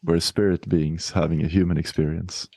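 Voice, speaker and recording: A man from Sweden, a podcast co-host who affirms readily, laughs often, and tells short stories in a relaxed chat. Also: low voice, moderate pace, gravelly, husky, dry recording. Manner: affirming, laughs easily, storytelling. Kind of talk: casual spiritual discussion.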